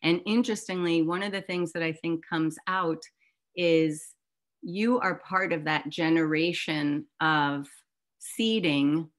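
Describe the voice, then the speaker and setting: Female host for an online arts discussion. Slightly low voice, informal, enthusiastic, conversational. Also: a little slow. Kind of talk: casual, chatty hosting of a live talk. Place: online video call.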